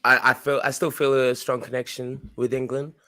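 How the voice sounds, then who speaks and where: A man's voice: medium pitch, level tone, moderate pace, pushed and bright. A young man from Australia, a guest in a casual interview, talking in a laid-back, rambling way with many restarts.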